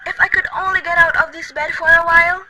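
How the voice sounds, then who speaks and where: A woman acting a frantic bedridden lady, very high-pitched, demanding and near tears, noisy recording. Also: steady tone, quick pace. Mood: anxious. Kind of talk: student radio play reading. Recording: loud background noise.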